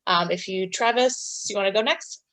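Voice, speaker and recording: A woman's voice: medium pitch, normal speed, fairly bright tone. A woman in her twenties speaking informally as a student panelist in a webinar.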